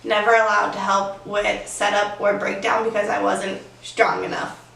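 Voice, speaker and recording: A woman's voice: mid-range voice, little pitch movement, moderate pace, gravelly, fairly bright tone. Woman, 21, from Ohio, frank and direct, distant in an echoey room. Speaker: interviewee.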